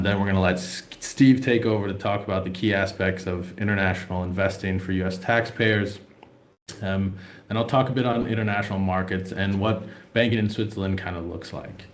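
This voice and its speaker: A man's voice: low-pitched, roomy sound. A young American man presenting a finance webinar, hesitant with many fillers and restarts. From United States. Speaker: wealth manager presenting a webinar.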